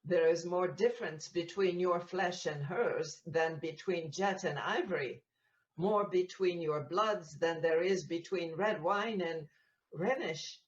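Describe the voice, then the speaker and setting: A woman playing a gossiping, needling Venetian townsperson in a Shakespearean audio play, with measured, halting delivery. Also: fairly low pitch, natural voice. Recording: muffled, echoey room recording.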